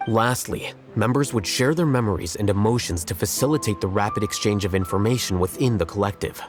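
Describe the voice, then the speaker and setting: Guy playing a blunt brainy game scholar, low measured voice, very matter of fact, music behind. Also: natural voice. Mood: explanatory. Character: cool, blunt, intellectual young male scholar. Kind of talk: video game voice acting.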